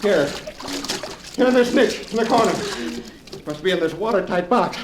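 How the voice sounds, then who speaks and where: A desperate schemer in an old radio horror play. His high voice swings widely as he repeats himself in melodramatic panic, inside an echoey space. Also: lively intonation, normal speed, noisy background. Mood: agitated scheming. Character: desperate scheming nephew, trapped and frantic.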